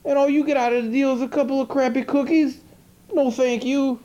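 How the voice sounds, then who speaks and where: A man's voice: extremely high pitch, fairly even intonation, a little fast, mellow, dull audio. A man playing a grumpy, dim monster who hosts a TV horror-movie show, rambling and complaining in exasperated disbelief. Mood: indignant. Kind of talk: rambling comedic hosted segment. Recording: 1980s VHS TV recording with music.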